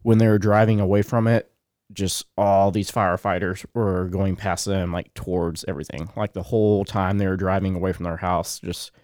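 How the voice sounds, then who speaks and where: A man's voice: deep voice, little pitch movement, normal speed, a little mellow, no room sound, studio quality. A man co-hosting a podcast, rambling casually with many fillers and self-corrections, a bit sniffly. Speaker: podcast co-host. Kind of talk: casual chat.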